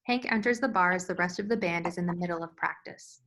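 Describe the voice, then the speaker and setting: A woman's voice: on the low side, fast, a bit bright, slight background noise. A woman narrator reading scene headings and stage directions from a screenplay in a scripted style.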